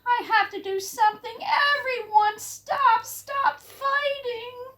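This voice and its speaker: Woman voicing a high, urgent little prairie dog. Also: fairly even intonation, slow pace, fairly bright tone, distant mic, heavily acted. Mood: distressed. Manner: urgent, exclaiming. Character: small anxious male prairie dog pleading for peace.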